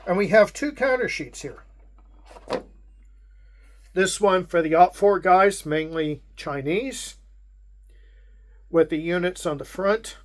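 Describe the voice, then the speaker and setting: A man with a Pittsburgh accent, hosting a casual unboxing and walking through the contents in a measured way with frequent pauses. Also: high voice. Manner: unhurried, measured, with frequent hesitations. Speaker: wargame channel host.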